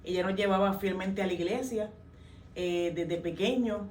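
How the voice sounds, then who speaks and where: A young woman with a Puerto Rican accent tells her story as an interview guest, earnest and pausing often on fillers. She sits back from the microphone in a reverberant room. A faint ambient hiss sits under her voice. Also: medium pitch, darkish tone. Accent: Puerto Rican Spanish. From Puerto Rico. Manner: earnest, many hesitations and fillers. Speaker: guest, worship singer. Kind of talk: testimony in an interview. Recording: roomy, distant mic, faint ambience.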